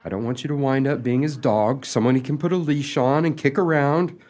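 A man's voice: mid-range voice, steady tone, fairly quick, a little mellow, natural voice. A man playing a jealous, lovesick suitor in an amateur radio play, earnest and exasperated, with a hesitant stammer.

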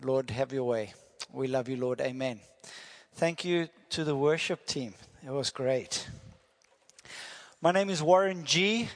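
A man's voice: medium pitch, unhurried, pauses often. Visiting South African missionary preacher, man, friendly, jokes, quizzes the congregation. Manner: friendly, jokey, asks the congregation questions. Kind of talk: sermon with prayer. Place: church hall. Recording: church hall reverb.

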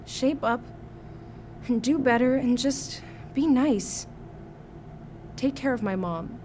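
A woman's voice: on the high side, slow pace, warm tone. A young actress playing a sardonic teenage girl who has been bitten, speaking bluntly and wryly. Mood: earnest. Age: teens. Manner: wry, blunt. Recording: audible background noise.

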